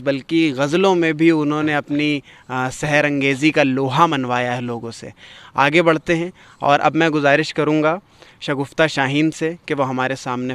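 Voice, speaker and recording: Male literary host, highish resonant voice, formal and ceremonious delivery, dry sound. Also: fairly bright tone, smooth, no room sound. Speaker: host of a poetry gathering. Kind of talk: formal spoken introduction and commentary in literary Urdu.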